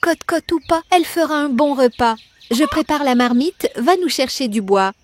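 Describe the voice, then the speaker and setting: A woman's voice: sits high, animated, moderate pace. A woman playing a fussy, greedy mother in a children's fairy-tale cartoon, with theatrical delivery. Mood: worried, scolding. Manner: theatrical, scolding, coaxing.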